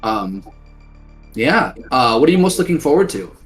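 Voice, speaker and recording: A man's voice: medium pitch, very animated, normal speed, faint music behind. A man running a tabletop game, chatting casually with his players after a session, joking and swearing freely. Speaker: game master. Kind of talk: casual post-game chat.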